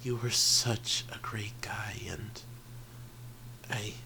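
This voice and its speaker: A man playing an earnest, vulnerable high-school boy in an intimate ASMR roleplay, speaking haltingly and sincerely. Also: flat delivery, bright, forceful tone, heavily acted. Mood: tender, nervous. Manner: halting, hesitant, sincere, often trailing into breathy murmurs. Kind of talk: ASMR roleplay audio drama, intimate confession. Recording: noisy home recording with ambience.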